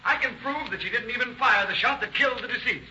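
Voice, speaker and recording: Fired up defense lawyer, high raspy forceful voice, theatrical 1930s radio courtroom. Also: male voice, quick pace, slight background noise, exaggerated voice. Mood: impassioned, insistent. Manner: emphatic, theatrical. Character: impassioned defense attorney making dramatic courtroom accusations. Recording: dull old radio recording.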